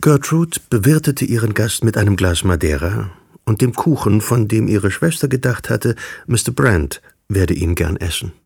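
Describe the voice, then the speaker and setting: A man's voice: fairly low pitch, lively intonation, moderate pace, creaky, a little mellow, husky. A man reading scripted literary narration as the narrator of a radio drama.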